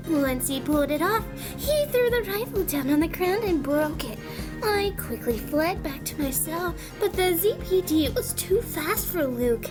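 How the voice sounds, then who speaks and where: A woman voicing a gleefully malicious female animal criminal who taunts and drawls in an audio drama. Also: extremely high pitch.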